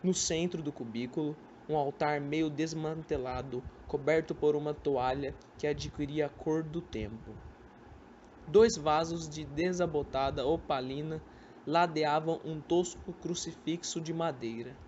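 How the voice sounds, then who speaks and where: A man's voice: a little high, a little slow, a little mellow, lo-fi sound. Young Brazilian man narrating, faint background noise. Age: young adult. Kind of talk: scripted literary radio drama narration. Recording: amateur recording with background noise.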